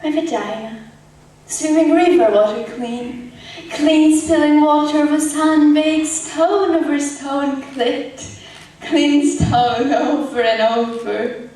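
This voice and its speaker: A woman playing a haunted, traumatised war survivor in a poetic stage monologue, with halting, fragmented phrasing. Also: high-pitched, fairly even intonation, warm tone. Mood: wistful, lilting. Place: echoey indoor performance space, distant mic. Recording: echoey hall from far off, faint noise.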